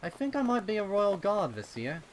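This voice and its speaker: A man's voice: high-pitched, sing-song, moderate pace, slight background noise, voice pushed up. A man voicing a young, earnest, slightly defensive colt pony in a storybook reading. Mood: earnest, unsure. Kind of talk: storybook character dialogue.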